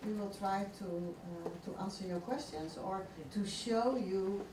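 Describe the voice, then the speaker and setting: A woman moderating a conference session and live scan, calm and measured as she asks probing questions. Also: medium pitch. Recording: distant mic in an echoey hall with noise.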